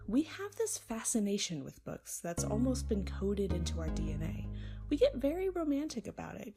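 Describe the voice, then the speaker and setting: A woman reads a scripted video essay in a conversational, emphatic way, with wry, informal asides. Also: on the low side. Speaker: library student presenting a book review. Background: background music.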